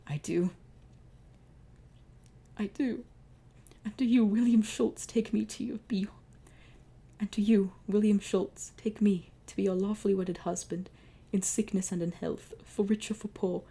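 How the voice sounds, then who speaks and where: A woman's voice: mid-range voice, fairly even intonation, a little slow, creaky, warm tone, slightly rough, pitched above the performer's own voice. A woman voicing a grieving young male pharaoh, tender and desperate, read out melodramatically. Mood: devoted. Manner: melodramatic reading of dialogue.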